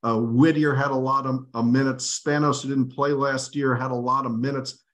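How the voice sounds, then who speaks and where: A middle-aged man hosts a postgame show, walking through the game in a calm, analytical way. He speaks at an ordinary pitch and pace. His voice is picked up by a webcam on a video call. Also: normal speed. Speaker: host. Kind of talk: postgame sports analysis chat.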